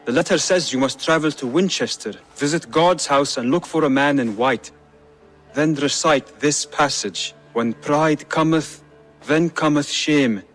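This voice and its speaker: A man's voice: on the high side, unhurried, a bit bright, soft background music. A man playing an earnest, polite young-hearted acolyte in a video game, speaking carefully and attentively.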